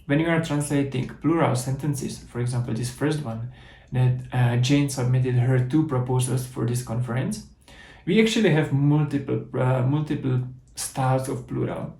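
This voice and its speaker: Gravelly Czech-accented man explaining code. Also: medium pitch, normal speed, warm tone, roomy sound. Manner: explanatory, methodical, with restarts. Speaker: conference speaker. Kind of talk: technical coding talk with live demo.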